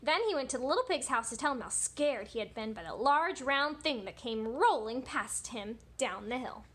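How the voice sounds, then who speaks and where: A woman children's teacher with an expressive style, reading a storybook and addressing children. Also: on the high side, normal speed, husky. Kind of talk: animated storybook read-aloud for children.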